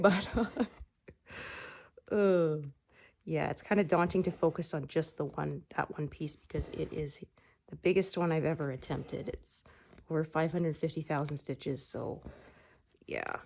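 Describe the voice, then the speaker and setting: Low-voiced woman, chatty and relaxed. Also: gravelly. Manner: relaxed, meandering, frequent fillers. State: recovering from a cold, slightly congested. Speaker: cross-stitch vlogger. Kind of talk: casual chat while stitching.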